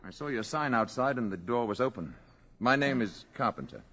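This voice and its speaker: An actor playing a calm, aloof male alien visitor in a 1950s radio drama, speaking in a measured, quiet, grave way. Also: mid-range voice, a little hiss or hum. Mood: polite.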